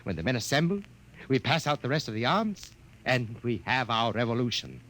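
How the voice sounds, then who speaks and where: A man's voice: medium pitch, big pitch swings, a little fast, fairly bright tone, rough recording. An actor playing a guarded, intense young male rebel with a clipped, urgent manner, in a 1950s radio drama.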